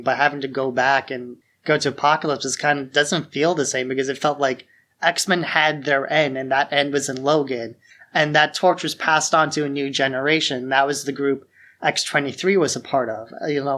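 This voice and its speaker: A man's voice: mid-range voice, pushed and bright. A man co-hosting a podcast, talking casually and informally about films with a fan's strong opinions. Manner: informal, opinionated fan talk. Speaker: podcast host. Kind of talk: casual film discussion.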